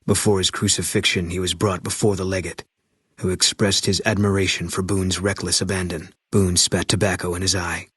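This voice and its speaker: A weathered storyteller narrating a post-apocalyptic video game. His voice is low and husky, with grave, measured phrasing. The sound is dry, with no room around it. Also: little pitch movement, fairly bright tone, no room sound, heavily acted. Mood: grave, solemn.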